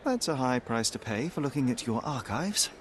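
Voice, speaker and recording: Brief: man doing a clever young sleuth, lively and teasing, faint street noise behind. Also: mid-range voice, close to an everyday voice. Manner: polite, teasing, quick-witted. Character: young male detective in disguise, sly and clever. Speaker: lead character. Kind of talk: video game voice acting.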